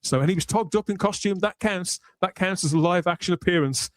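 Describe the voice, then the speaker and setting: A man hosting a podcast with conversational commentary, speaking in articulate, measured, storytelling phrases. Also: high-pitched, no room sound. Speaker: podcast host.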